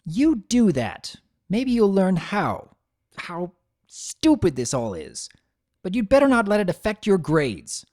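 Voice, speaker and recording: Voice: mid-range voice, huge pitch swings, slow, a little mellow, no echo, clean studio sound, voice pushed up. A performer voicing an impatient, scornful mare and mother with a curt, strained delivery, in a dramatic fan fiction reading. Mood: angry, scornful.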